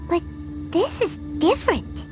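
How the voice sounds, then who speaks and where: A tiny, nosy fairy companion chatters in an extremely high, childlike squeak. The delivery is excitable and swings widely, as in an animated video game, over prominent music. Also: female voice, unhurried, full character voice. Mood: concerned, determined. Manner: speaks of herself in third person, exclamatory. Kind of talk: English video game voice acting.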